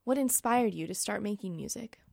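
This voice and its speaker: A woman interviewer asking polite, clear questions in a radio interview. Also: mid-range voice, animated, a little fast, darkish tone, dry recording, studio quality.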